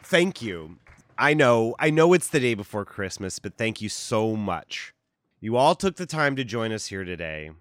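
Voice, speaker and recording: A man's voice: fairly low pitch, sing-song, unhurried, a bit bright, no echo, clean studio sound, some chatter behind. A man playing a young conference host, addressing an audience formally and politely in an audio drama. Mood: grateful. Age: young adult. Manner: polite, formal public announcing. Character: grinning young male conference host in his thirties. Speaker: conference presenter. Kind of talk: audio drama stage speech.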